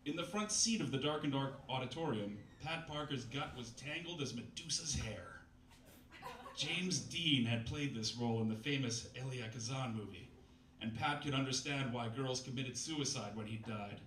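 A man's voice: medium pitch, moderate pace, fairly bright tone. Man reading aloud on a roomy bistro stage. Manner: mock-dramatic reading, wry interjections. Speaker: reader at a comedy reading night. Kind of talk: reading aloud from a novel with dry asides. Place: small bistro stage with live audience. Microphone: stand mic, filmed from far camera. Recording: roomy, distant camera audio, faint noise.

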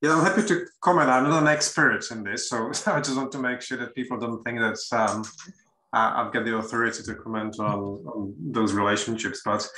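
A man with a Czech accent speaking as a panelist, thoughtful and pausing often to find his words. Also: medium pitch, steady tone, bright, forceful tone, roomy sound, low-quality recording. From Czech Republic. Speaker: panelist, university academic. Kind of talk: measured panel discussion remarks.

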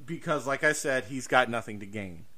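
Bright, forceful man, emphatic podcast host. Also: mid-range voice, normal speed. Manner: emphatic, swearing, repeats points for stress. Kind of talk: opinionated casual discussion.

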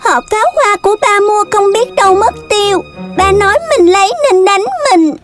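A woman's voice: extremely high pitch, moderate pace. A woman voicing an aggrieved little calf child in a children's cartoon, plaintive and childlike. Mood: tearful. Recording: loud music behind the voice.

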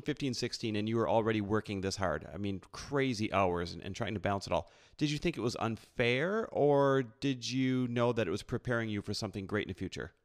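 An enthusiastic man hosts an interview podcast, piling on emphatic praise for his guest. His voice sits in an ordinary middle register. He keeps it conversational and encouraging as he leads into questions. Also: medium pitch. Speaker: podcast host. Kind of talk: upbeat podcast intro and interview.

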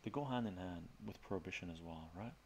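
A middle-aged man, Armenian by birth, chats in a relaxed, rambling way with fillers, as a livestream host in his home study. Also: low voice, fast talker, gravelly, slight background noise. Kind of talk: casual soft-spoken chat with viewers.

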